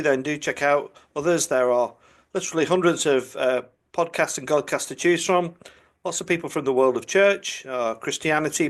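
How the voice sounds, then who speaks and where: A man, a priest, hosting a podcast interview in a friendly way with hesitations and repeated words. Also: a little high.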